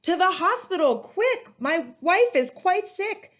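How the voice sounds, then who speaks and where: A frantic storybook father-to-be acted out by a woman, pitched very high. He exclaims loudly and urgently, every phrase pushed out in alarm. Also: very animated, slow pace, heavily acted. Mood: panicked. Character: frantic expectant father in a picture book.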